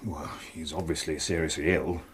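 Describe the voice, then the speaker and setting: An actor playing a sceptical, cautious police inspector in a radio drama, businesslike and reasoning. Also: male voice, very deep voice, steady tone, moderate pace, a little hiss or hum.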